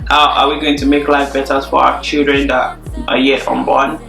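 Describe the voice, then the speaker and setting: A young woman chatting casually in a relaxed Q&A vlog, repeating words for emphasis. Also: a bass voice, normal speed, vocal fry. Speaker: YouTuber. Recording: echoey room, faint music.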